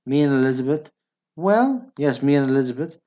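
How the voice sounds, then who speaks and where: A man's voice: mid-range voice, animated, fairly quick, dark tone, lo-fi sound, lightly acted. A man acting a meek, dim younger brother. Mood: sheepish. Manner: literal-minded, apologetic, hesitant. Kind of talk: radio play acting.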